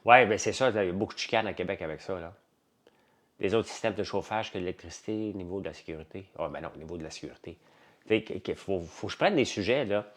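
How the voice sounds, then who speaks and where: A man's voice: low-pitched, fast. A man with a Quebec French accent chatting casually to livestream viewers, rambling and blunt, in colloquial words and often repeating himself. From Quebec, Canada. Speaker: livestream host.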